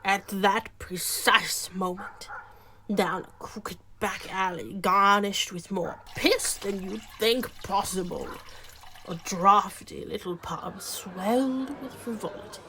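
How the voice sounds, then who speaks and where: A woman's voice: on the low side, takes it slowly, background noise. A woman narrating a comic radio play as a wry storyteller.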